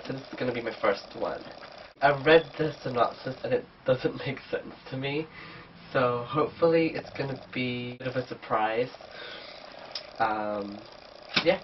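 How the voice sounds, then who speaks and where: A man's voice: medium pitch, slight background noise. A man with a cold and a blocked, nasal voice, chatting casually through a book haul vlog. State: sick, blocked nose. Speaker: book vlogger. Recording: distant dull camera mic.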